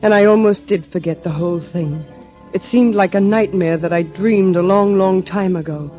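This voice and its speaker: Actress as an anxious wife, dull vintage radio. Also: female voice, fairly low pitch, moderate pace, warm tone, natural voice. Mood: haunted, subdued. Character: anxious, guilt-ridden wife confessing her story. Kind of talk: 1940s radio drama acting, first-person narration. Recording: dull vintage radio recording, music behind.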